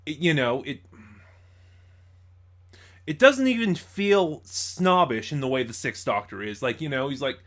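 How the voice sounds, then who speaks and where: Halting male reviewer with a mid-range voice. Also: mid-range voice, expressive, a bit bright. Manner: halting, stumbles over words and corrects himself. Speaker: reviewer, host. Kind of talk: informal spoken audio-drama review.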